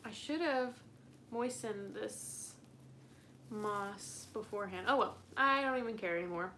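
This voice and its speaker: A woman's voice: medium pitch, a little slow, sharp tone, slight background noise. A young woman hosting a casual live stream, chatting in a bubbly, rambling way with frequent giggles and lots of "like". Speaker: plant channel host.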